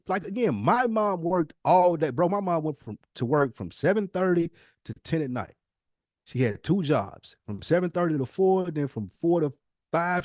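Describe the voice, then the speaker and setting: An American man of about forty speaks in a casual podcast style. His voice sits a little higher than average for a man. He is reflective yet emphatic, repeating phrases to drive points home, and the sound is dry with no room on it. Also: no room sound. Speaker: podcast host. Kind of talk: casual conversational podcast talk.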